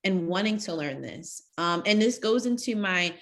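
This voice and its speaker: A woman's voice: on the low side, expressive, normal speed, a bit bright. Earnest woman hosting a live conversation. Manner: earnest, emphatic, thinking aloud. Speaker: interviewer.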